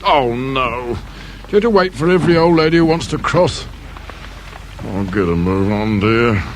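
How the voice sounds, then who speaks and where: A man's voice: medium pitch, lively intonation, moderate pace, natural voice. Actor doing a grumpy police inspector, middle-aged guy, sarcastic and impatient, radio play, bit of background noise. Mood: exasperated. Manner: sarcastic, impatient, barking orders. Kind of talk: British radio drama acting.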